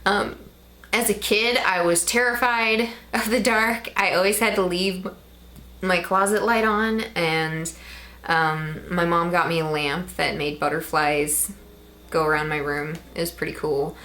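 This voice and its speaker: A young woman in her twenties talks casually to camera in a Midwestern American accent, rambling along with plenty of ums. Her voice sits a little low for a woman, and a faint hiss runs under the recording. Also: fairly even intonation, a little slow, bright, forceful tone. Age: 20s. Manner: chatty, rambling, with frequent ums. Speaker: vlogger.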